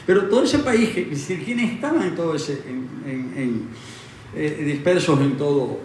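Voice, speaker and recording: Man, reflective, halting explainer with repeated phrases; distant mic, heavy room echo. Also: on the high side, lively intonation, a little mellow. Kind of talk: explaining history in conversation. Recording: very echoey room, distant mic, faint noise.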